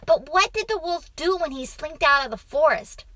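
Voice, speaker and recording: Woman putting on an eager, high-pitched little boy. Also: lively intonation, moderate pace, fairly bright tone, dry recording. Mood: demanding. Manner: insistent, interrupting, exclaiming. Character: eager, bossy, demanding young boy. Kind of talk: acted storybook reading.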